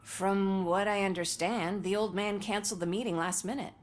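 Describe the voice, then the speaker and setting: A woman's voice: mid-range voice, steady tone, moderate pace, lightly acted. A wry commercial actress, voiced by a woman chats in a dry, sardonic way. She is candid and casual, a character in a video game.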